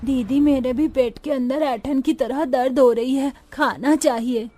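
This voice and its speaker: A woman's voice: high voice, steady tone, a little slow, mellow. A woman playing a desperate young orphan girl in a story, plaintive and wailing. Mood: hungry whining.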